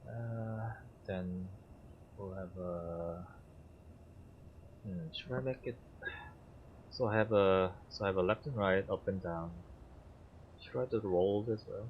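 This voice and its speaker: Casting note: man with a very low voice, Korean as his first language, halting gameplay commentary full of fillers, dull lo-fi mic. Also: a little hiss or hum. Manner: halting, thinking aloud with many fillers. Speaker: streamer.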